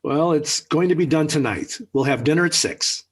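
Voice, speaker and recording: A man's voice: a little high, normal speed, husky. An actor playing an exasperated, blustering middle-aged father in an emphatic, flustered 1940s radio sitcom style. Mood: grumbling, stubborn. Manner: emphatic, flustered, sputtering indignation. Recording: old 1940s radio recording.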